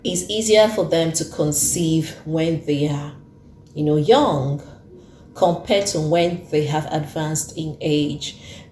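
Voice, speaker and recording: A woman's voice: deep voice, mellow, echoey room, a little hiss or hum. A woman in her thirties hosting an earnest talk, who repeats her points for emphasis. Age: 30s. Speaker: host. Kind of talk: casual talk to camera.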